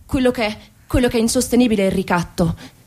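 A woman playing an earnest, hesitant factory worker as a quiz contestant, explaining haltingly in a satirical radio play. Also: a little high, dark tone, close to an everyday voice. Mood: anguished, resolute.

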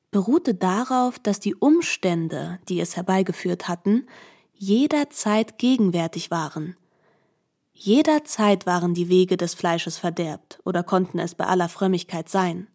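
A woman reading literary narration for radio, measured and articulate. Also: lowish voice, a little mellow.

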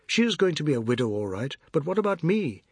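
A man's voice: on the high side, sing-song, fast talker, husky, no room sound, clean studio sound, heavily acted. A man voicing a beleaguered, self-pitying, sardonic man in an audiobook story. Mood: sardonic grumbling.

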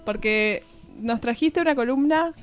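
A woman's voice: on the high side, a little slow, soft background music. A woman with an Argentine Rioplatense accent hosting radio with casual, ironic, joking banter. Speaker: radio host.

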